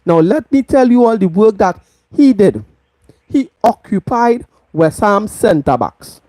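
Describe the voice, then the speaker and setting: Slow, emphatic Trinidadian football host. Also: female voice, medium pitch, sing-song, warm tone, dry recording. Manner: emphatic, repeats points for stress. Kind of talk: live football match review.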